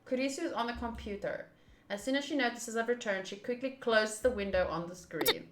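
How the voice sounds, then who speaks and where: Female streamer for visual novel playthrough: bright voice, casual reading and commentary, roomy echo. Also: on the high side, fairly quick. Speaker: game streamer. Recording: roomy echo, faint game music. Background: faint game music.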